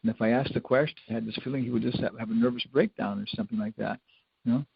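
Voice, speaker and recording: Older man, gravelly informal spiritual lecturer. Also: mid-range voice, steady tone, rapid-fire, no room sound. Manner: rambling, conversational, with wry humour. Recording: dull lo-fi lecture recording.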